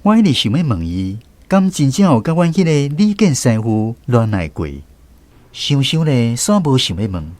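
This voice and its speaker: A man's voice: medium pitch, big pitch swings, normal speed, a little mellow, no echo. A Taiwanese-accented man giving scripted narration as a radio host. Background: faint music.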